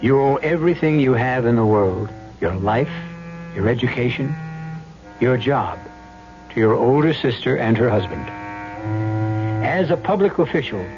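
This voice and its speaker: A man's voice: medium pitch, big pitch swings, moderate pace, long pauses. A man performing a grave, probing narrator who addresses the listener in a radio drama introduction. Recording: dull old radio recording. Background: music.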